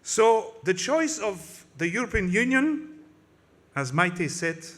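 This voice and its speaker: A man's voice: high voice, big pitch swings, slow pace, fairly bright tone. A man whose first language is French gives an impassioned political speech in English at a conference, with a French accent and frequent slips and restarts. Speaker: conference speaker.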